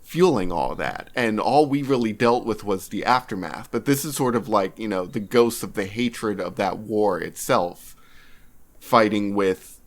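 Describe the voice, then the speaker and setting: A man co-hosting a podcast in casual discussion, speaking in a measured, halting way as he thinks aloud. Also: lowish voice, animated. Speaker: podcast co-host.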